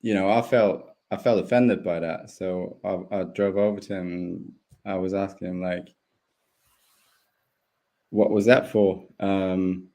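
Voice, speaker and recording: Deep-voiced German-native man, rambling. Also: fairly even intonation, a little slow, warm tone. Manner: rambling, full of fillers and restarts. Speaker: guest speedway rider. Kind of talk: casual live interview.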